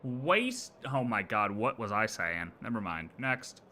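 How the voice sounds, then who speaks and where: A man's voice: on the low side, big pitch swings, unhurried, pushed and bright, slight background noise. A man streaming a game, chatting casually and jokily in slang as he reacts and reads dialogue aloud. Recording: music behind the voice.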